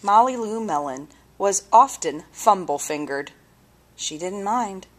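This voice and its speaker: A woman reading a children's story aloud with deliberate, expressive phrasing and dramatic pauses. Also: medium pitch. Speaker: storybook reader.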